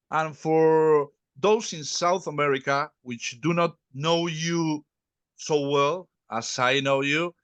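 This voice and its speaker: Guy hosting a podcast, halting english, dry close sound. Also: fairly high pitch, a bit bright, dry recording. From Uruguay. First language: Spanish. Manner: halting English, restarts and stumbles. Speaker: podcast host. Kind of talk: conversational interview.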